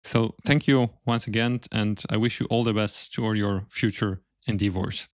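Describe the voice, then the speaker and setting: A man hosting an interview podcast, speaking in a polite, measured way with formal phrasing. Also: on the low side, fairly even intonation, no echo. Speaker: podcast host. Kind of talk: interview, then podcast sign-off.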